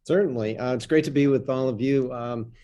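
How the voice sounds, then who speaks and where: A middle-aged or older man with a Canadian accent, telling his personal story on a panel in a measured way. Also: medium pitch, mellow.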